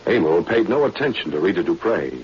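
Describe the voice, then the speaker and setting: A man's voice: low voice, lively intonation, brisk. A man narrating a spy adventure in scripted, solemn 1940s radio style. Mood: dramatic, measured. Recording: dull, faintly noisy vintage radio recording.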